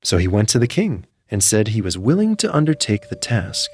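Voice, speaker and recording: A man narrating a classic fairy tale from a script in a measured storyteller's manner. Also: lowish voice, big pitch swings, darkish tone.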